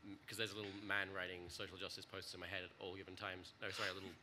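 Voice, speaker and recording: A man's voice: low-pitched, flat delivery, racing, background noise. A young man on a panel, rambling and self-deprecating, swearing casually. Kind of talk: panel discussion.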